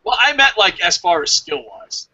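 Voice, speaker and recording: A man's voice: sits high, sing-song, normal speed, sharp tone, breathy edge, muffled recording. A man hosting a casual wrestling review podcast, chatting informally with some swearing. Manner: profane, informal. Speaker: podcast host.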